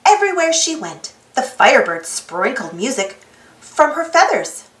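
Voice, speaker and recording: A woman reading a storybook aloud to children in an expressive narrating style, inviting young listeners in. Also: high voice, roomy sound, rough recording.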